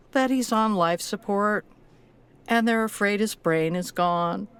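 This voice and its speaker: Protective adult granddaughter for audio drama: troubled, tough-minded, earnest, mid-range voice, measured slow pace. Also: female voice, medium pitch, a bit bright, clear, resonant tone. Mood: subdued.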